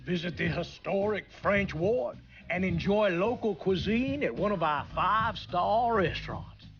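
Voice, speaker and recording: A chipper 1960s commercial announcer with an upbeat sales pitch. His voice is high and lively, in a dull lo-fi recording with prominent music behind it. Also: measured pace, exaggerated voice. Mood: upbeat, selling. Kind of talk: 1960s promotional advert narration. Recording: dull lo-fi vintage advert sound.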